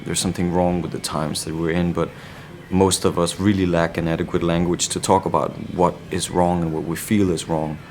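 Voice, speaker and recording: A man from Denmark with a Danish accent, a band member answering interview questions in a reflective way, often pausing to search for words. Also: sits low, little pitch movement, darkish tone, a little hiss or hum.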